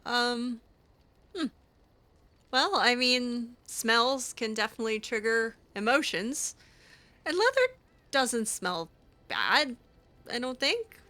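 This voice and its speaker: Girl roleplaying a shy kind mage in a video game, slow n hesitant, kinda high voice, soft music behind. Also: very animated, no room sound, heavily acted. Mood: curious. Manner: hesitant, gentle, awkward. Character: kind, awkward young female blood mage.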